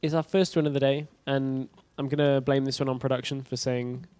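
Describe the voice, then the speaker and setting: A man with a mid-pitched voice casts an esports match from an event stage. He talks in a wry, teasing way, keeping things upbeat while the game is paused. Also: level tone, a little mellow, clear, resonant tone. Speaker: esports caster. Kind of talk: casual caster banter.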